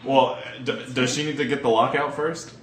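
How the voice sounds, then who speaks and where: A man's voice: medium pitch, fairly even intonation, normal speed, fairly bright tone. A man chatting casually as a player in a tabletop role-playing game. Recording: echoey room, distant mic, background noise.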